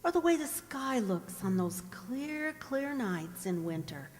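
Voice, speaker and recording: A woman's voice: on the high side, sing-song, unhurried. A woman playing a dreamy, earnest seventeen-year-old country girl in a radio drama, with a wistful, musing manner. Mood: dreamy, wondering. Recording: hall-like long reverb.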